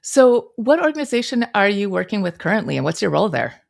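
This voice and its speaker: A woman's voice: medium pitch, sing-song, fast talker, gravelly. A woman hosting a podcast interview, asking questions in a chatty way with many filler phrases. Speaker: podcast host.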